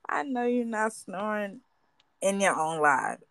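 A woman hosting a live audio show, telling a story in a calm voice and giggling. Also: medium pitch, measured pace, gravelly, dry recording. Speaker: host.

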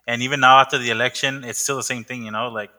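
Bright-voiced young male podcast host. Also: mid-range voice, fairly even intonation, fast. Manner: rambling, many fillers and restarts. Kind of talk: casual chat.

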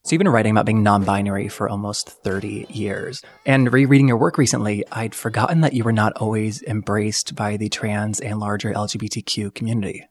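A man's voice: on the low side, fairly even intonation, brisk, few pauses, soft background music. A man interviewing a guest, asking curious, probing questions.

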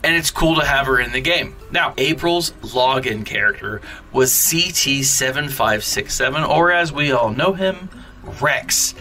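Bright, animated male storyteller over music. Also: mid-range voice, measured pace, distant mic. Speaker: podcast host presenting a storytime segment. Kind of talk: playful storytime recap for fans.

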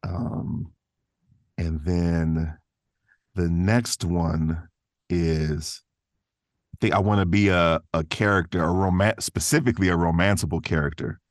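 Very deep-voiced man chatting casually. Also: steady tone, measured pace, pauses often, dry recording, clean studio sound. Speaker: guest, voice actor. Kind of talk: casual conversational interview.

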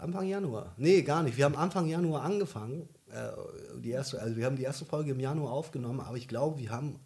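A man's voice: medium pitch, fast talker, a little mellow, breathy edge. A young man co-hosting a casual podcast, rambling with many fillers and restarts. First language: German. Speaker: podcast co-host. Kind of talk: casual chat.

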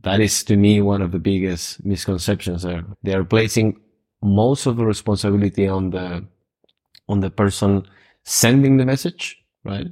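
A man from Argentina co-hosting a casual podcast, with a very deep voice. He lays out his points in a calm, measured and explanatory way. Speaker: podcast co-host.

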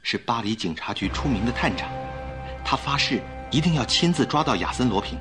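An actor as a suave gentleman traveler in a radio play. He is courteous and composed, with a romantic, theatrical delivery and music clearly heard behind him. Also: mid-range voice, lively intonation, a little fast, lightly acted. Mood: intent, knowing. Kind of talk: Mandarin radio drama acting.

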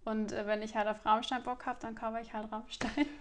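A woman in her twenties with a Swabian accent, a singer answering interview questions in a relaxed way, laughing often. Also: fairly high pitch, fairly quick, a little hiss or hum. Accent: Swabian German. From Swabia, Germany. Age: 20s. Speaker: singer and influencer.

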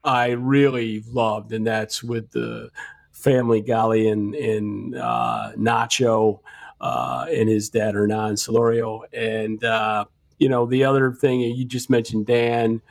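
Gravelly man rambling on a podcast. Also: fairly low pitch, slow pace. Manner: rambling, frequent restarts and fillers. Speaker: podcast co-host. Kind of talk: casual podcast chat. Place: at home.

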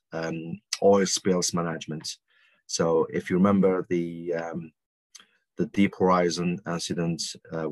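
Deep flat-voiced man with many pauses. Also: dry recording. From Algeria. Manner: halting, many ums and restarts. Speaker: guest consultant. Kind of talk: podcast interview answers.

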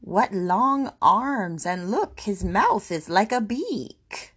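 A woman's voice: medium pitch, very animated, slow pace, a bit bright, breathy edge, full character voice. A woman voicing a fussy, scornful mother Tyrannosaurus in a children's storybook, exclaiming and scolding. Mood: grumbling, disdainful.

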